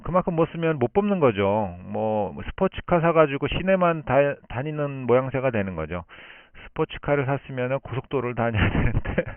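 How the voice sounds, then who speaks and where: A man speaking as an amateur radio operator in long, unscripted explanations, thinking aloud with pauses, over a band-limited shortwave link. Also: mid-range voice, clean tone, no echo, phone-quality sound.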